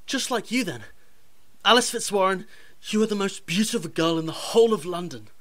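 A woman's voice: medium pitch, animated, a little fast, fairly bright tone, natural voice. A woman playing a chirpy, earnest young lad, the hero of a radio pantomime, in a hearty theatrical manner. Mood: cheerful. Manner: hearty, addressing children theatrically.

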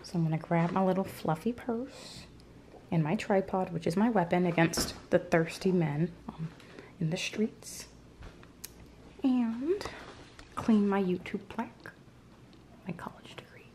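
A woman's voice: fairly low pitch, unhurried, pauses often, mellow, slight background noise. A woman in her twenties chatting casually to camera for a vlog, with a playful, dryly humorous manner. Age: 20s. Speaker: vlogger. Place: indoors, small bare-walled apartment rooms. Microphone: camera's built-in microphone, a short distance away. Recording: roomy echo from bare rooms, music underneath.

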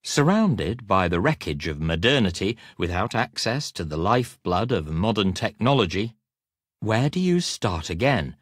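A dry, close studio recording of a man narrating a nonfiction audiobook. His voice sits fairly low and moves with lively, animated intonation. He phrases deliberately, giving weight to key words. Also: dry recording.

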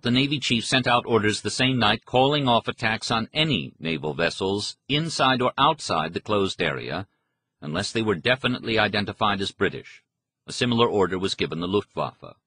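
Bright-voiced man narrating history. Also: fairly low pitch, slightly rough, no echo. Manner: measured, precise, formal reading. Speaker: audiobook narrator. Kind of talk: scripted narration of a history book.

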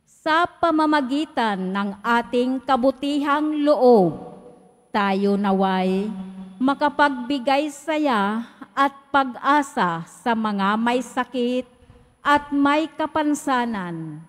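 Woman lector, high voice, reads real slow, church echo. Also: lively intonation. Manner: slow, measured reading with pauses. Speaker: lector reading the intercessions. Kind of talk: reading the prayers of the faithful. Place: large church. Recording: echoey church hall.

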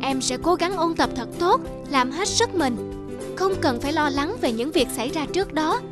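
Woman doing earnest cartoon schoolboy, high voice, polite n unsure of himself. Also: animated, moderate pace, over music. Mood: resolved. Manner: polite, hesitant. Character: earnest, self-doubting schoolboy pupil. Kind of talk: cartoon dubbing.

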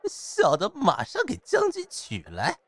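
Fawning, wildly swooping shopkeeper. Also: male voice, high voice, wildly swooping pitch, unhurried, slightly rough, full character voice. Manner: obsequious, exclaiming. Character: fawning, eager male shopkeeper of ancient China. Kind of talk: costume radio drama acting.

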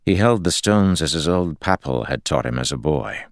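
A man with an extremely deep voice narrates a fantasy audiobook. His tone has a gravelly creak, and the recording is dry and close. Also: animated, moderate pace, no echo. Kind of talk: scripted fantasy fiction narration.

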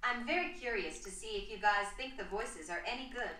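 A computer-generated woman reads a short scripted sample. The voice is bright and clearly echoey. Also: on the high side, brisk, recorded from a distance. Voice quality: synthetic voice. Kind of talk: scripted text-to-speech demo. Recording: echoey playback.

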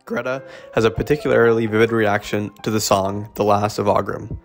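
A man giving scripted narration for a literary video essay in an even, explanatory way. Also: fairly low pitch, a little fast, soft background music.

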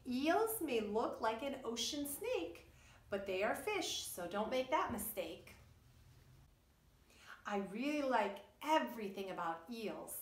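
A middle-aged woman, a preschool teacher reading aloud and explaining things to young children in an animated way. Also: fairly high pitch, takes it slowly. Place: indoors, wood-panelled room by a puppet stage. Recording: roomy echo, distant microphone.

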